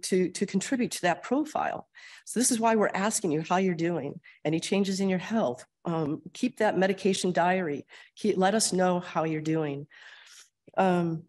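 A woman with an Upper Midwest American accent, getting over a cold and losing her voice, giving a conversational grand rounds lecture and often clearing her throat. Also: lowish voice, a little mellow. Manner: explanatory, conversational, frequent ums and throat clearing. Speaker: clinical research administrator presenting at grand rounds. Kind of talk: conference lecture with slides.

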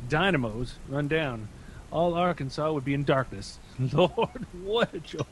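Man as excitable old dad, radio drama, blustery grumbler, lively highish pitch, light rain noise. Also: unhurried. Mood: teasing. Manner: querulous, blustery. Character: grumbling, excitable older father at home.

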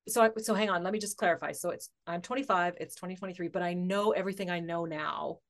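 A woman's voice: lowish voice, fast talker. A woman in her forties, a guest on a podcast, chatting casually and thinking aloud, with frequent laughter. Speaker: podcast guest, author and coach. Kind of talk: casual interview chat.

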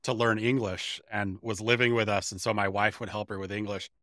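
A man's voice: on the low side, level tone, brisk, a bit bright, dry recording, clean studio sound. A man from San Diego co-hosting a podcast, asking curious questions and telling light anecdotes in casual chat. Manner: curious, jokey interviewer. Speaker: podcast co-host.

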